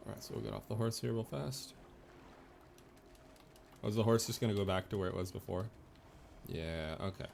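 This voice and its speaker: A man with a fairly low voice talks his way through a video game as a streamer. His commentary is casual and playful with some swearing. There is clear noise in the background. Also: a little fast, pauses often, a little mellow.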